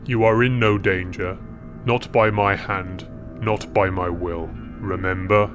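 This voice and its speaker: A smug aristocratic wizard, acted by a male narrator, speaking low with quiet menace. He savours each mocking word in a fantasy storybook, with music behind. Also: steady tone, takes it slowly. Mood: smug, amused. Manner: mocking, savouring his words.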